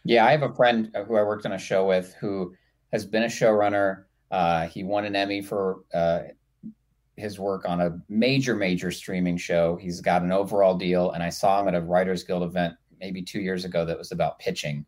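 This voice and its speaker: A man's voice: low-pitched, level tone, normal speed, a little mellow. A man speaking casually as a writer on a panel, sharing personal experience. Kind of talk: casual anecdotal panel talk.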